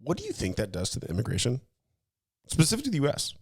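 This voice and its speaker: A man's voice: medium pitch, huge pitch swings, very fast, breathy edge. Casual male podcast co-host. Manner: talks in analogies, asks leading questions, frequent restarts. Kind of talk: casual chat.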